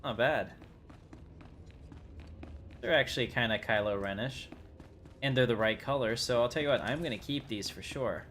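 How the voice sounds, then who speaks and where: A man's voice: mid-range voice, normal speed, long pauses, a bit bright. A man giving casual, rambling livestream commentary as he thinks aloud while playing a game. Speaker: livestream host. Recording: clearly noisy background.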